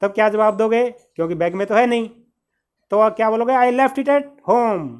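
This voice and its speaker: A man's voice: high voice, moderate pace, clean tone. A man from Haryana, teaching beginner spoken English. He patiently repeats each phrase and calls his listeners "brother".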